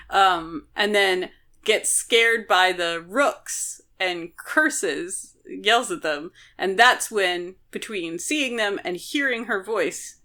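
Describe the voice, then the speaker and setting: A woman's voice: medium pitch, pushed and bright. A woman co-hosting a podcast, explaining a story in casual conversation. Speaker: podcast co-host. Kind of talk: casual book discussion, explaining plot.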